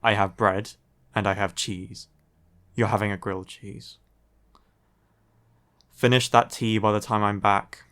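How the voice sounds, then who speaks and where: A man playing a grumpy young male vampire in an intimate audio roleplay, curt and deadpan, with a reluctantly caring streak. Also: lowish voice, unhurried, pauses often. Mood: gruff, impatient. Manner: deadpan, curt, dry sighing delivery. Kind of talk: audio roleplay, intimate ASMR-style acting.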